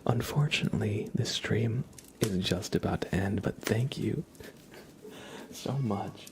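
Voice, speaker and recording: A man speaking in a soft, breathy half-whisper, gently and unhurriedly, as an ASMR livestream host close to the microphone. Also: on the low side, unhurried, slight background noise.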